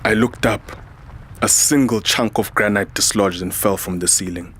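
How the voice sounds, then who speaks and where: A man's voice: on the low side, moderate pace, heavy background noise, lightly acted. A man playing a weary, defiant soldier, narrating a science fiction audio drama in a grave, dramatic manner. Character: weary, defiant male human soldier narrating his ordeal. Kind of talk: first-person scripted audio drama narration.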